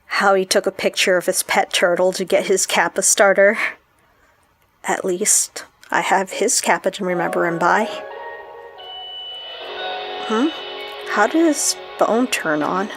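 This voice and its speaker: An actress playing a lonely, troubled young woman who confides in her vlog, with a husky, emotional, halting delivery in an audio drama. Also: lowish voice, low-quality recording. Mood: puzzled, suspicious. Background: music.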